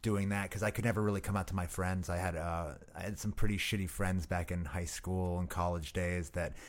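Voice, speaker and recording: Guest comic, 30s, very deep voice, casual and halting. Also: male voice, fairly even intonation. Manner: halting, many restarts and fillers. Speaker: guest comedian. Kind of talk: casual interview chat.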